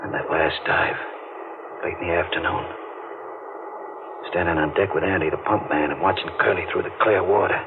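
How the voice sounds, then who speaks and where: An actor playing a brooding, guilt-ridden deep-sea diver in an old-time radio mystery, speaking in a tense, halting way. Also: male voice, natural voice. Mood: grim, confessional. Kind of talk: old-time radio drama acting with narrated inner monologue. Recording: muffled, noisy old radio recording.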